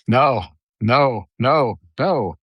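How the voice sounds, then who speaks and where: A man's voice: mid-range voice, a bit bright, husky, dry recording, studio quality. A man co-hosting a podcast in casual chat, easygoing and chatty. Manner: easygoing, chatty, many fillers like "like" and "dude". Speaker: podcast co-host.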